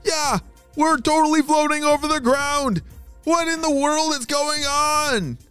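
A man voicing an excitable, goofy adult neighbor who exclaims loudly and gets flustered. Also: very high-pitched, unhurried, dry recording, soft background music, voice pushed up, full character voice.

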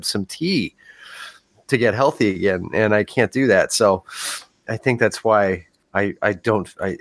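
Low-voiced man with a stuffy cold. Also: no room sound. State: congested from a cold. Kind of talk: casual chat.

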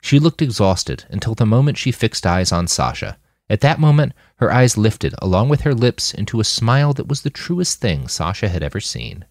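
A man's voice: lowish voice, sing-song, normal speed, vocal fry, darkish tone, no echo, clean studio sound. A man reading scripted fiction as an audiobook narrator. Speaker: author narrating his novel.

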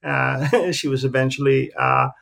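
A man's voice: mid-range voice, steady tone, measured pace, vocal fry, a bit bright. Rambling man in his fifties, Philippines-raised. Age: 50s. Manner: rambling, friendly, many fillers. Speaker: guest, professional photographer. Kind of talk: casual interview talk.

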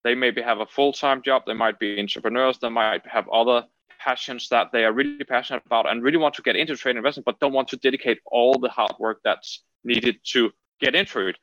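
A man with a Danish accent answering interview questions conversationally, haltingly, often repeating words. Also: mid-range voice, a bit bright, no echo, dull audio. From Denmark. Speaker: podcast guest, trading entrepreneur.

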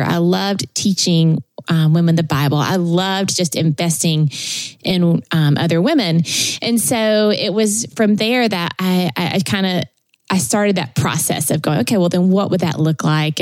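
A woman's voice: fairly low pitch, a little mellow, no echo. A woman in her thirties from Tennessee, a podcast guest telling her story in a chatty, rambling way, laughing often between fillers. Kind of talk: casual interview storytelling.

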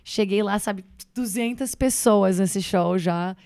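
A woman's voice: mid-range voice, slow, darkish tone, no room sound. Chatty Brazilian woman comedian. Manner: loose and chatty, many fillers, mixes in English words. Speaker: stand-up comedian, guest. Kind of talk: casual interview chat.

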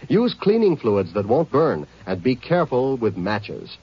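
A man's voice: medium pitch, wildly swooping pitch, unhurried, slightly rough. A man reading a 1950s radio public service announcement in an earnest, cautionary, emphatic way. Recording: muffled old radio recording.

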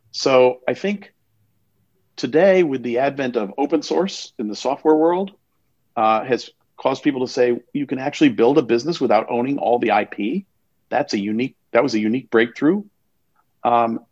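Measured man on a dull dry call. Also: medium pitch, a little mellow, no echo. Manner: reflective, measured explainer with restarts. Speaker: investor. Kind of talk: conversational online panel talk.

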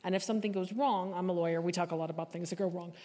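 A woman's voice: lowish voice, steady tone, rapid-fire, warm tone. A woman speaking as a panel guest, giving advice in an emphatic, articulate way. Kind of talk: advisory panel discussion. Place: large indoor hall at a live panel event. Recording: echoey hall, live event.

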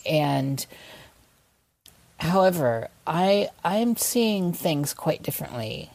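A woman's voice: deep voice, expressive, slow pace, creaky, darkish tone, dry recording. A woman guest on a talk podcast, speaking thoughtfully and searching for words. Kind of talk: casual interview chat.

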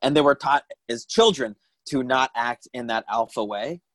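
A young man chatting casually on a remote call, joking and self-deprecating with quick asides. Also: medium pitch, a bit bright, no echo. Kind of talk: casual chat.

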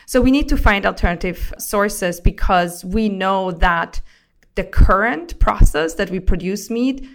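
A woman's voice: medium pitch, measured pace. A woman from Austria, a founder being interviewed, who explains her work enthusiastically and laughs easily. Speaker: company founder, guest. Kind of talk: interview answers.

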